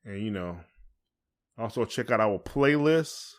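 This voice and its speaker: A man's voice: on the low side, lively intonation, a little slow. Folksy man with a Southern US accent. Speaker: podcast co-host. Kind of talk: casual chat.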